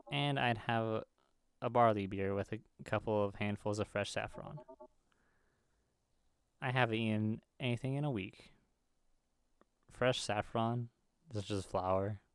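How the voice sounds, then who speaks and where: A man's voice: fairly low pitch, clean tone, no room sound. A man hosting a gaming video, reading visual novel dialogue aloud and now and then stumbling over the words.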